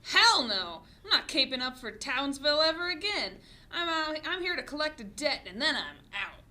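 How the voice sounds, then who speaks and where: Casting: woman as jaded superheroine, mid twenties, bright high voice, sarcastic and blunt, comedy table read. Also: big pitch swings, moderate pace, exaggerated voice. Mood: defiant. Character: cynical, bitter twenty-five-year-old ex-superhero woman.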